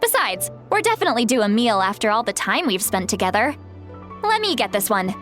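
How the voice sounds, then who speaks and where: A woman's voice: a little high, very animated, fairly bright tone. A woman voicing an ancient, self-mocking sorceress in a video game who still sounds like a young woman. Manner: unhurried, teasing, self-mocking. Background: game music.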